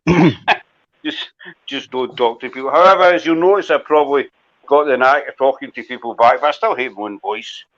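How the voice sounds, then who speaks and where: A man's voice: mid-range voice, a little fast, fairly bright tone. A Scottish man hosts a loose, rambling chat in a mid-range voice, poking fun at himself as he goes. The audio is dull and lo-fi. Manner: chatty, rambling, self-deprecating. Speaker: host. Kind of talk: casual live chat.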